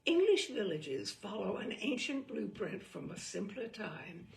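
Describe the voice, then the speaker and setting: A woman reading a humorous book aloud as a storyteller, in a deliberate, theatrical and wry manner. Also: medium pitch, big pitch swings, creaky. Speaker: reader of humorous book selections. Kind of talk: dramatic storytelling read-aloud. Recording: distant microphone.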